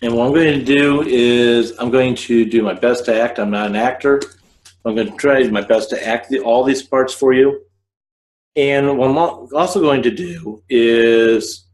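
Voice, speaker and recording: A man hosting a scriptwriting podcast, explaining in a modest, deliberate way. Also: medium pitch, darkish tone, slight background noise. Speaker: podcast host teaching scriptwriting. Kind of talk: casual explanatory talk.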